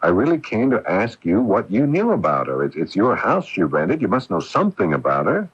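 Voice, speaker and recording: Earnest, bewildered man, low and animated, stammering; radio drama. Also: husky, exaggerated voice. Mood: earnest, agitated. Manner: halting, stammering, repeating himself. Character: earnest, flustered, bewildered man confiding in a friend. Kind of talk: 1980s radio drama acting. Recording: dull, lo-fi 1980s radio broadcast.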